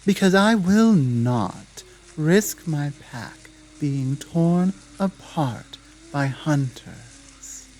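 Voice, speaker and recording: A menacing female werewolf pack leader, played by a woman for a roleplay ASMR drama. Her voice is dark and mellow, and she takes her time with every deliberate, taunting command. A faint sizzle of ambient noise sits behind her. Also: deep voice, lively intonation, heavily acted. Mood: stern, bargaining.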